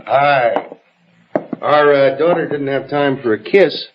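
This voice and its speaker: A man's voice: medium pitch, slow. An actor playing an easygoing, affectionate husband and father in an old radio drama, casual and attentive. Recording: dull old radio recording.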